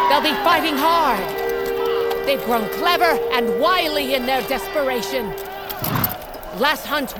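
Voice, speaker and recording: Proud wolf queen barking orders at her hunters, slow and high-pitched, loud music and crowd behind. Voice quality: commanding, proclaiming. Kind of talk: audio drama acting.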